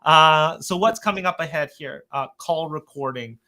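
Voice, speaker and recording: A man hosting an informal software update webinar, talking in an earnest, conversational and loosely rambling way. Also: fairly high pitch, moderate pace. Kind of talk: informal product presentation.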